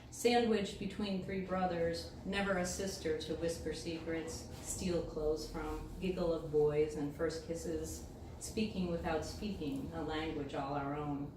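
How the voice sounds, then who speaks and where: A middle-aged American woman giving a live poetry reading with casual introductions, phrasing expressively and deliberately. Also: fairly low pitch, darkish tone. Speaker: featured poet reading at a poetry event. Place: indoor live event space, mic far from speaker. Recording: distant, echoey room recording with clear noise.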